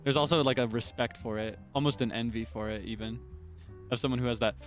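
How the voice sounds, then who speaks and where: A man's voice: mid-range voice, fairly even intonation, quick pace, soft background music. A young man chatting casually on a stream, answering viewer comments with plenty of laughter and self-deprecating remarks. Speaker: virtual streamer.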